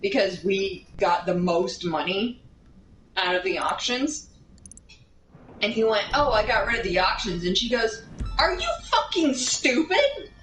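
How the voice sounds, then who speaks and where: Woman, casual storyteller, animated, rambling, acts out quotes, echoey room, audible background noise. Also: mid-range voice, unhurried. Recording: roomy, distant mic, steady background noise.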